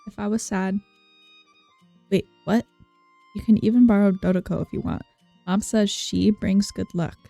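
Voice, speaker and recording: A woman's voice: mid-range voice, fairly even intonation, moderate pace, creaky, pauses often, no echo. A young woman giving casual, rambling, playful game commentary as she thinks aloud. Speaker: game streamer. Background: soft game music.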